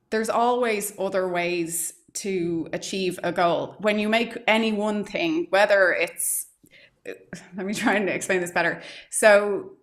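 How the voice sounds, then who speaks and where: Soft-spoken low woman coach. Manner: thoughtful, explains step by step with fillers. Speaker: trading psychology coach. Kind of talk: live-stream advice talk.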